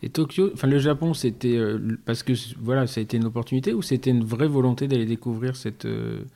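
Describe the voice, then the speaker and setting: A man leads an interview, feeling his way through his questions with fillers and restarts. His mid-pitched voice has a gravelly fry and a warm, relaxed tone. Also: fast. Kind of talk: conversational interview.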